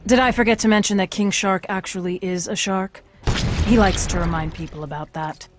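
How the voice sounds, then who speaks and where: A woman's voice: mid-range voice, steady tone, a little fast, background noise, close to an everyday voice. A woman playing a stern middle-aged government commander in a video game, speaking with authority and dry sarcasm. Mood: dry, wry. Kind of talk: scripted video game acting.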